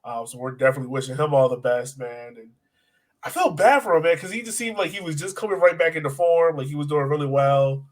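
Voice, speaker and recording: Guy with kinda higher voice doing a casual sports podcast, very colloquial. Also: steady tone, fast talker.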